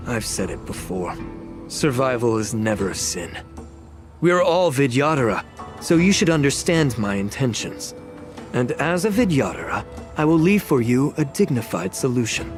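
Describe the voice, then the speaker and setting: Haughty scheming elder, very animated. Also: male voice, medium pitch, measured pace, pauses often. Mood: smug, formal. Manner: haughty, theatrical, formal. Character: scheming, self-righteous male elder villain of a dragon clan. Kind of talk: video game voice acting. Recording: game music behind the voice.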